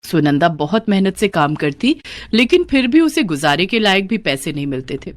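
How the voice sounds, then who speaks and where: Female storyteller, expressive scripted narration, mid-range voice. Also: mid-range voice, normal speed.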